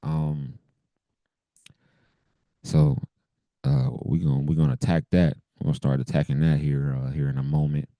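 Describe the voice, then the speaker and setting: Deep-voiced, hoarse man in laid-back chat. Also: little pitch movement, moderate pace, pauses often, warm tone, no echo. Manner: laid-back, laughs often, loose slang. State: hoarse from a cold. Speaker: podcast co-host. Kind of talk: casual chat.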